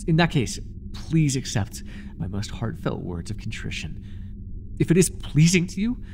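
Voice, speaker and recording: A man playing a devoted, fawning high priest of a dark god in an audio roleplay, stammering, reverent and overeager, with breathy delivery. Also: very animated, unhurried, a little hiss or hum. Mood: contrite, anxious.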